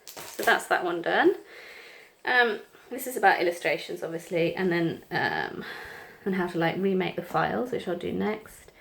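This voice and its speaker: A middle-aged woman with a croaky cold voice, chatting casually and apologetically at her craft desk, with lots of ums. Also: fairly low pitch, big pitch swings, moderate pace, rough recording. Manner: rambling, apologetic, frequent ums. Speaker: planner vlogger. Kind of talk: casual chat over crafting. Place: home craft desk, indoors.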